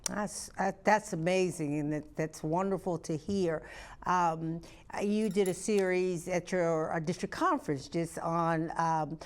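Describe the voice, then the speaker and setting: A woman with a fairly low voice conducts a conversational interview. Her phrasing is halting and roundabout as she builds each question and remark piece by piece. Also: steady tone.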